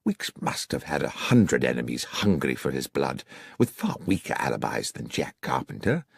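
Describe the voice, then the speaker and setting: A man voicing a sensible, loyal gentleman companion to a detective, quiet and reasoning, with a British accent. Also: extremely low pitch, big pitch swings, moderate pace, creaky, husky, no room sound, studio quality, pitched below the performer's own voice, heavily acted. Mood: quietly puzzled.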